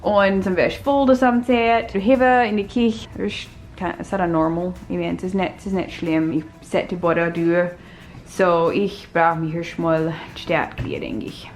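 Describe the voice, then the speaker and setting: A woman in her thirties whose first language is Pennsylvania Dutch talks through a casual home vlog voiceover. Her voice sits a little low, and her manner is chatty and friendly with soft laughs. Clear music plays underneath her. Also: moderate pace. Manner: chatty, friendly, often laughs softly, mixes dialect and English. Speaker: vlogger, mother. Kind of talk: casual voiceover over cleaning footage.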